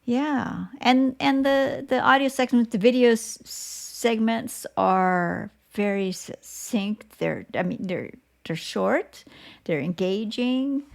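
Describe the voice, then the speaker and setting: Slow-talking woman with creaky voice. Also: a little high. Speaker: podcast host, interviewer. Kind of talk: casual interview.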